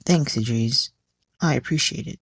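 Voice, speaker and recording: A woman voicing a poised, proud singer who is gracious and guarded, speaking in a composed, measured way in an audio drama. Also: extremely low pitch, breathy edge, no room sound, heavily acted.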